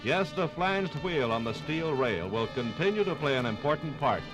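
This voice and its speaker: An American man narrates in 1950s radio documentary style, with music clearly behind him. His mid-pitched voice is formal and emphatic, building sentences like an orator. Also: lively intonation, normal speed, rough recording. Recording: 1950s radio program on vinyl.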